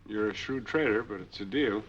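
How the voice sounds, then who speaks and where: A fast, glib noir drifter. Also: male voice, on the low side, breathy edge, lo-fi sound. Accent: American. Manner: glib, persuasive, wry. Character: smooth-talking male drifter angling to claim money. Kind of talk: 1940s film noir acting. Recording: noisy old 1940s film soundtrack.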